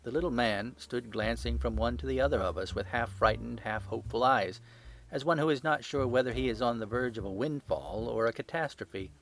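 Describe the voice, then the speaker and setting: A man's voice: mid-range voice, fairly quick, gravelly, faint music behind, low-quality recording. A man reading a story as an audiobook narrator in a scripted storytelling style.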